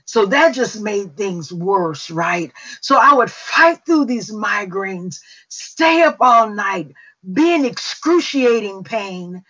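A middle-aged woman with a Mississippi accent gives an unhurried spoken testimony on a prayer call, with fillers and long pauses. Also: medium pitch, lively intonation, a bit bright, slightly rough. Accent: Southern US, Mississippi. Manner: slow, unhurried storytelling with fillers. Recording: dull lo-fi call audio.

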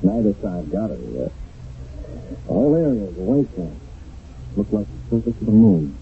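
An actor playing a commanding army sergeant on patrol, urgent and decisive, in a radio drama recorded from an old broadcast. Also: male voice, on the low side, big pitch swings, normal speed, phone-quality sound, a little hiss or hum. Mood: reflective. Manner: urgent, decisive, giving orders.

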